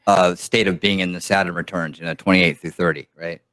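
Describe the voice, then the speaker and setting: Very deep-voiced man in casual chat. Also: steady tone, brisk, fairly bright tone.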